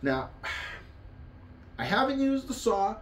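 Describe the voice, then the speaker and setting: A man's voice: high voice, very animated, very slow. A middle-aged man with a North American accent giving a casual hands-on tool review in an offhand, plain-spoken way. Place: indoor wooden workshop. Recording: echoey room, faint background noise.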